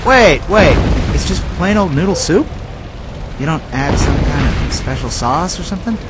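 A man's voice: on the high side, expressive, normal speed, close to an everyday voice. A man voicing a big, earnest, goofy young panda hero in a video game, boyish and plucky. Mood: puzzled. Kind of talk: animated video game voice acting. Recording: game music over voice.

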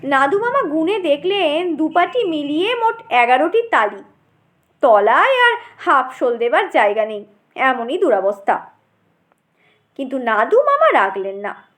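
High-pitched, slow, animated woman storyteller. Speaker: storyteller, audiobook narrator. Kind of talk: expressive scripted story narration in a humorous tone.

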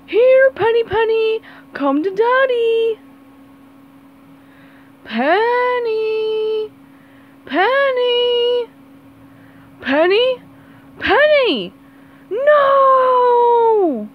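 A woman's voice: extremely high pitch, expressive, drawn-out words, long pauses, muffled recording, voice pushed up, full character voice. A woman voicing a melodramatic old miserly male crab in an amateur comic dub, wailing and overwrought. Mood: frantic.

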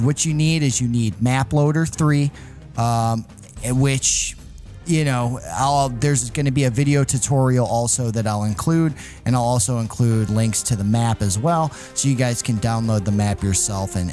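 A man's voice: mid-range voice, normal speed, dark tone, faint music behind. A man hosting a gaming video, giving casual gameplay commentary with some light roleplay storytelling in an informal, hyped but hesitant way. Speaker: gaming channel host.

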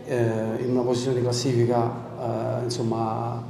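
A man in his forties talks through a post-match press conference in a flat, steady voice, pausing on fillers as he works out his answers. The press room rings with echo and a murmur of people in the background. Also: mid-range voice, gravelly. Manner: measured, thinking aloud with frequent fillers. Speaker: assistant football coach. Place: press room in front of a sponsor wall. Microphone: desk microphone. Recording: roomy press room with crowd noise.

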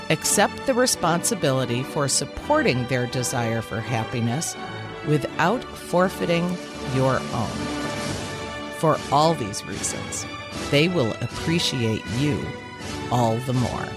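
A woman in her fifties, a podcast host, delivering a mock commencement speech in a measured, deliberate way with emphatic pauses. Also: very deep voice, sing-song. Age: 50s. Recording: music bed underneath.

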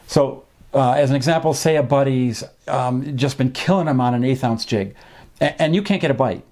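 A man in his fifties giving an informal instructional talk to camera as a fishing instructor, explaining in measured, hesitant phrases with frequent pauses, recorded indoors in a small room. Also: medium pitch, a little mellow. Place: small home office, indoors.

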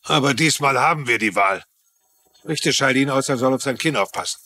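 An actor playing an indignant, blunt and principled doctor in a German TV drama dub. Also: male voice, medium pitch, expressive, a little fast, sharp tone, slight background noise. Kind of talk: German TV dubbing.

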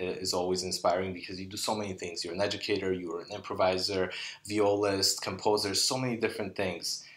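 A man hosting a music podcast, asking questions in a halting way with many fillers and restarts. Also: sits low, fairly bright tone. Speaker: podcast host, conductor. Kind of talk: casual interview. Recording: echoey room.

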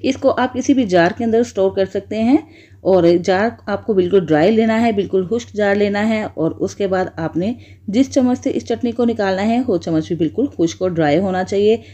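A woman, calmly walking viewers through a home cooking recipe step by step. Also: mid-range voice, brisk. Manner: patient, repetitive step-by-step instruction. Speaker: cooking channel host. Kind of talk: voice-over cooking tutorial.

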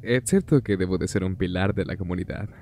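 A man playing a suave, self-important, tippling gentleman cat in a cartoon, with a Latin American accent. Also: low-pitched, fairly quick. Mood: dryly pompous. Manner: pompous, dry. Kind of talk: animated cartoon fandub. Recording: music playing behind.

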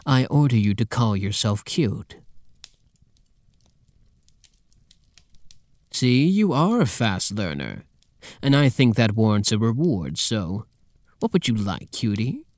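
A man's voice: on the low side, no room sound, clean studio sound. A man playing a possessive, doting, dominant master and boyfriend in an intimate, teasing ASMR roleplay. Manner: teasing, soft, intimate, commanding, at times whispering.

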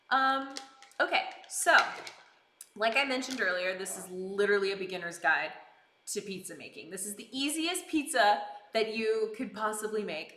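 A woman's voice: fairly high pitch, fairly bright tone, a little hiss or hum. A bubbly, giggly woman chatting casually with live viewers as she hosts a stream, full of exclaimed greetings. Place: indoors in a kitchen. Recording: roomy, echoing indoor sound.

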